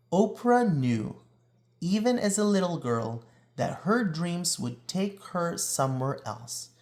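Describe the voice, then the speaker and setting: Slow, expressive man reading a picture book aloud. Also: fairly high pitch. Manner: deliberate, expressive storytelling delivery with drawn-out emphasis. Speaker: read-aloud reader.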